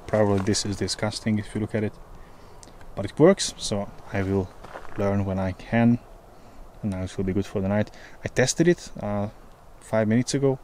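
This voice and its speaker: Low-voiced man hesitantly vlogging in woods. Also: steady tone, moderate pace, dark tone, a little hiss or hum. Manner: hesitant, many filler uhs. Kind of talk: casual outdoor vlog. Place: outdoors in woods.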